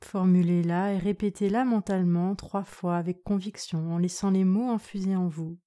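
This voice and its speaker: A woman yoga nidra instructor leading a guided relaxation meditation in a calm, soothing, measured way. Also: lowish voice.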